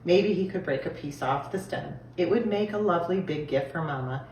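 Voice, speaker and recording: A woman reading a children's story aloud in an expressive style, recorded in an echoey room. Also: fairly low pitch, fairly quick. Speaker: storybook reader. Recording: echoey room, distant mic, faint noise.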